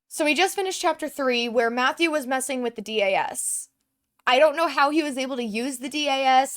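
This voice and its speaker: A woman's voice: a little high, fairly bright tone. Chatty woman narrating her gameplay. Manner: chatty, full of "um" and "like". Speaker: let's play gamer. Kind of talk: casual gameplay commentary. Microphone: desk microphone.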